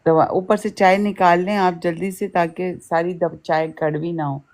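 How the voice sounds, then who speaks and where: A woman's voice: on the low side, steady tone, a little slow, a little mellow. A woman explaining things informally as a co-teacher in a casual chat.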